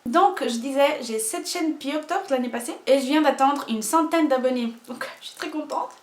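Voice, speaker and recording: A woman's voice: high-pitched, fairly quick, roomy sound. A young woman from Portugal speaking with a Portuguese accent, telling nervous, self-mocking stories in a casual vlog at home. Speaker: vlogger. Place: home room.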